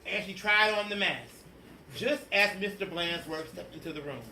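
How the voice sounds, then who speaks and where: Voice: medium pitch, moderate pace, vocal fry, bright, forceful tone. A storybook reader doing a theatrical, emphatic read-aloud of a children's picture book. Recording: echoey room, distant mic, faint noise.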